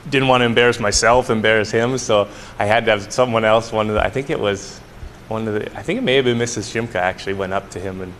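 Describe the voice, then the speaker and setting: A Canadian man telling a casual anecdote, halting and correcting himself. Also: fairly low pitch, a bit bright. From Canada, Alberta. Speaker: colleague giving a tribute. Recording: faint background noise.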